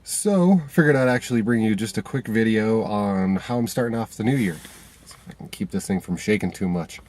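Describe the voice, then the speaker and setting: A man's voice: lowish voice, a little fast, darkish tone. A man vlogging from inside his car, stuffy from a cold, talking casually to the camera with lots of fillers and restarts. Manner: rambling, many fillers and restarts.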